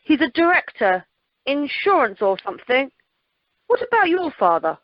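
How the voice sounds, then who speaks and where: Woman acting wry teen boy, privileged, lively fairly high voice, amateur radio comedy, dull phone sound. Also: moderate pace, dry recording. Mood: casual, curious. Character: privileged, dry-witted teenage boy Dylan. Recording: dull, dry telephone conference recording.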